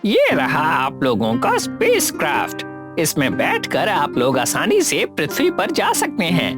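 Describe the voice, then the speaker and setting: A woman plays a kind little alien boy for a children's cartoon. The voice sits fairly low for a woman and swings very widely in pitch, earnest and generous, with music clearly playing behind it. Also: huge pitch swings, a little slow, heavily acted. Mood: reassuring, friendly. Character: friendly young male alien child, generous and apologetic. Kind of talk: animated children's story dub.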